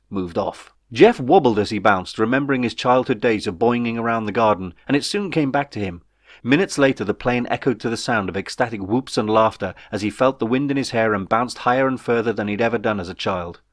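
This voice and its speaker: A man's voice: medium pitch, no room sound, clean studio sound. A man reading his own comic novel aloud as scripted audiobook narration.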